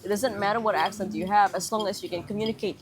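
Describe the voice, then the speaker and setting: A woman's voice: medium pitch, fairly even intonation, fast, crowd noise. A young woman from Indonesia with an American accent, speaking candidly as a podcast guest and using many casual fillers. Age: young adult. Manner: candid, thoughtful, frequent fillers like "you know" and "like". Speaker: guest, Indonesian language teacher and content creator.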